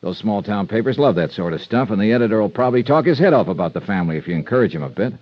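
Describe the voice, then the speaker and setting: An actor playing a wry, hard-boiled insurance investigator in 1950s radio detective style. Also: male voice, fairly low pitch, expressive. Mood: wry, confident. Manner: brisk, wry, clipped questioning. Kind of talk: 1950s radio detective acting with first-person narration. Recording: dull old radio recording.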